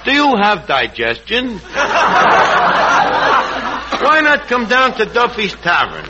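An actor playing a pompous, blustering New tavern manager who mangles big words in 1940s radio comedy. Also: male voice, high voice, huge pitch swings, slow, full character voice. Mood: pompous announcing. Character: blustering malapropism-prone tavern manager, adult man. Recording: muffled, noisy vintage radio broadcast.

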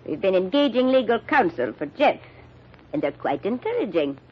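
An actress playing a cool, scheming middle-aged matriarch in an old-time radio mystery, haughty and controlled in manner. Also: female voice, medium pitch, sing-song, normal speed, slightly rough, lo-fi sound. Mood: composed. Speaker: radio drama actor.

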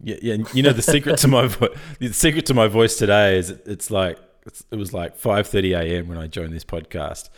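An Australian man with a croaky early-morning voice, chatting informally and jokily as a podcast guest pitching his business. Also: fairly quick, hardly any pauses. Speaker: podcast guest, software company founder. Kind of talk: casual conversational pitch.

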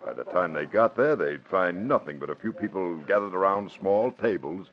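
An actor playing a beleaguered, matter-of-fact police commissioner in a 1940s radio drama. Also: male voice, deep voice, animated, moderate pace. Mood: weary, explanatory. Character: official, beleaguered male police commissioner explaining his limits. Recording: dull old radio recording.

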